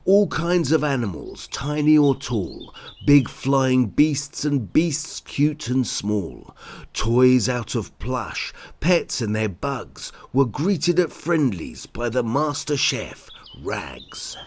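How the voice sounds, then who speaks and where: A man narrating a rhyming children's picture book in a theatrical, deliberate way. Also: medium pitch, big pitch swings, a little mellow, a little hiss or hum. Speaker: storybook narrator. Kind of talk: rhyming children's story read-aloud.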